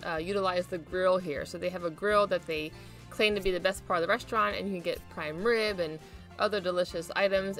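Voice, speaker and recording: A woman from Pennsylvania filming a casual food vlog at a restaurant buffet, talking into a handheld camera with bubbly, excited exclamations. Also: medium pitch. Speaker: vlogger.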